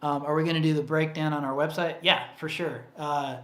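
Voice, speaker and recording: Male co-host, casual livestream Q&A, rambling and chatty, slightly high voice, tiring throat, echoey room. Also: flat delivery, fairly quick. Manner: rambling and chatty, many fillers and restarts. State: voice getting tired. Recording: roomy echo.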